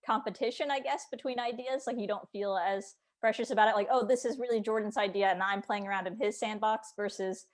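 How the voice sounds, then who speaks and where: A woman's voice: medium pitch, steady tone, a little fast, hardly any pauses. A woman hosting a casual interview, speaking in an agreeable, hedging, conversational way.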